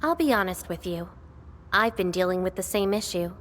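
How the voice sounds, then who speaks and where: A woman voicing an aloof young female swordfighter in a video game, teasing and quietly melancholy, with hesitant pauses. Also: fairly low pitch, lively intonation, slight background noise, lightly acted. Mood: gentle, reflective.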